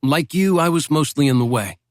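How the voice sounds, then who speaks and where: A man voicing a calm, modest young male American soldier with a Midwestern accent, speaking plainly and without fuss. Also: on the high side, brisk, no echo, studio quality, natural voice.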